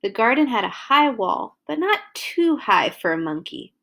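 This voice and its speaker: A woman hosting a read-along, reading a children's picture book aloud with animated, exaggerated emphasis for young listeners. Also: fairly high pitch, moderate pace, creaky.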